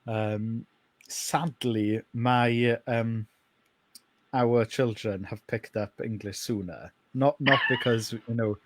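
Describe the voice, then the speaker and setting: A man from Wales with a North Welsh accent, Welsh his first language, a pastor speaking slowly and with many pauses in a podcast interview. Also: medium pitch, steady tone, rough recording. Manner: unhurried, hesitant with many ums.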